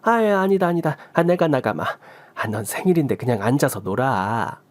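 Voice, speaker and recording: A woman's voice: a bass voice, expressive, moderate pace, a little mellow. A man voicing a doting mother-in-law in her sixties who fusses over her daughter-in-law in a radio story. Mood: fussing. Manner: fussing, motherly. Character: doting older mother-in-law, warm and fussing.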